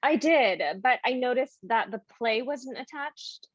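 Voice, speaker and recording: A woman's voice: sits high, unhurried. A woman playing a polite, eager actress auditioning in an online play, agreeable and natural in delivery. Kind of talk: naturalistic dialogue in an online one-act play. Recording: dull, boxy video-call audio.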